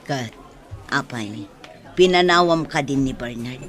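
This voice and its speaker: A man's voice: medium pitch, measured pace, fairly bright tone, lightly acted. A man with an Ilocano Filipino accent playing a frank, advising confidant in a radio drama. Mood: reproachful. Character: frank confidant giving sober advice to the couple. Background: music.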